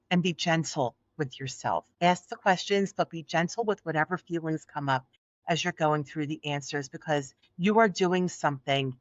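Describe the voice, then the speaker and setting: A woman's voice: on the low side, no echo. Soothing Philly-accented woman, lo-fi sound. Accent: Philadelphia. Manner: earnest, encouraging. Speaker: guest. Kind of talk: podcast interview. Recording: dull, dry lo-fi audio.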